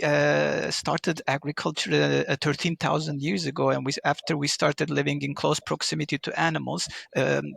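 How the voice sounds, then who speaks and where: Man, middle-aged, from Turkey, gravelly, husky, explanatory with fillers. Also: fairly high pitch, a bit bright, no room sound. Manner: explanatory, frequent fillers and restarts. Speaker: guest, pharma digital manager. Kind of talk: interview answers.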